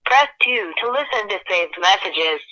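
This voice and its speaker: Bright lady phone menu voice, scripted prompts, dull sound off a phone speaker. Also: mid-range voice, expressive, moderate pace.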